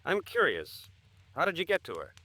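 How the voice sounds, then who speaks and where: Lively, courteous American man. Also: fairly high pitch, moderate pace, dry recording, heavily acted. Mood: apologetic, uneasy. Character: polite, apologetic businessman, anxious and curious. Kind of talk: old-time radio drama acting.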